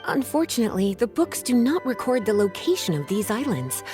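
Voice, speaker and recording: A woman's voice: fairly high pitch, very animated, fairly quick, music in the background. A woman voicing a poised, teasing, knowing female librarian in a video game, with formal wording. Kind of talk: scripted video game dialogue and storytelling.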